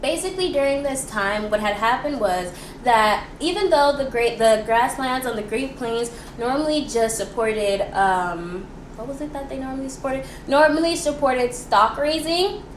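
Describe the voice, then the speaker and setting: Young American woman, student history host. High voice, informal and playfully theatrical, echoey room with faint noise. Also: normal speed. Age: young adult. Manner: playful, theatrical, slangy, with rhetorical questions. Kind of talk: chatty, informal history presentation for a school project.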